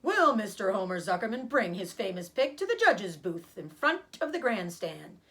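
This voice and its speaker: A woman voicing a formal fairground loudspeaker announcer in a storybook, loud, deliberate and official. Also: mid-range voice, sing-song. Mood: official, emphatic.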